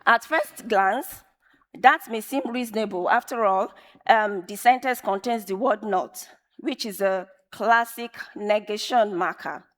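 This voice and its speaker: A woman whose first language is Yoruba, giving a measured, emphatic conference talk and repeating phrases for effect. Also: mid-range voice. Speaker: conference speaker. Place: large conference hall. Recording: long reverb of a large hall.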